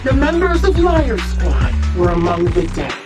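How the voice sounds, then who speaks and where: A woman playing a gloating, sadistic villainess in a video game, with a mocking, mock-polite, drawn-out delivery. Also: on the low side, big pitch swings, loud music behind the voice. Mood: needling, scornful. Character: gloating, sadistic villainess sniper, taunting and theatrical. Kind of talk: English video game voice acting.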